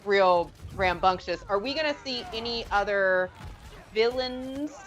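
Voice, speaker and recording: A woman's voice: medium pitch, a little slow, fairly bright tone. Enthusiastic woman hosting live chat. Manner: enthusiastic, rambling questions. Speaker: host.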